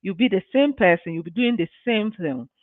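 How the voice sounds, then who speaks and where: A woman's voice: mid-range voice, expressive, a little fast, dry recording. A woman speaks to her live-stream viewers as a business mentor, earnest and encouraging, often saying "you know." Her voice comes through a tinny, phone-like line with no room sound around it. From Ghana. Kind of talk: motivational live-stream talk.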